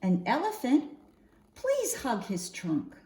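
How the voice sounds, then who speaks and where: A woman reading a picture book aloud for young children in an expressive, singsong storytime manner, often posing questions to her listeners. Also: a little high, slow. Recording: roomy echo, faint background noise.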